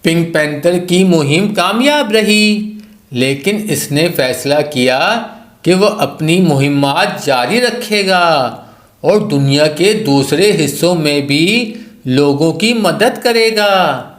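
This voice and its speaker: A man narrates a children's story from a script. His voice sits a little high, the pace is very unhurried, and the sound is noticeably echoey. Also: animated.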